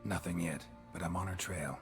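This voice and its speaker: An actor playing a stoic, menacing monster hunter in a video game, terse and deadpan with a gravelly voice. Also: male voice, sits low, moderate pace, music in the background. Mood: terse, businesslike. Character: stoic, menacing male monster-hunting mercenary, terse and unflappable.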